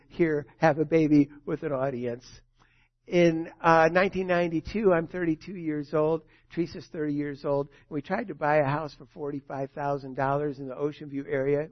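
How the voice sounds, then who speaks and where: A man in his sixties preaching as a pastor, telling stories with dramatic swells and hushed drops. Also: on the high side, dry recording. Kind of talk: sermon. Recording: dull, dry sound.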